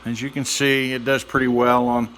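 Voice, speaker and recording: A man giving a casual, unscripted demonstration as a hobbyist restorer, speaking haltingly with many pauses. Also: mid-range voice, little pitch movement, a bit bright, rough recording. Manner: halting, hesitant, with trailing pauses.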